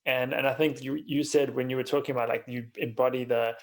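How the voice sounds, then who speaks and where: A man hosts a relaxed podcast conversation in a creaky, mid-pitched voice. He thinks aloud informally, feeling his way toward each point in halting phrases. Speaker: podcast host. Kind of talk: casual interview conversation.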